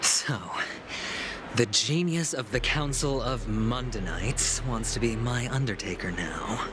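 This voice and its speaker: An actor playing a suave, sarcastic, self-assured schemer in a video game, with a wry, smooth-talking manner. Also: male voice, mid-range voice, a little slow, background noise, natural voice. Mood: wry, bitter.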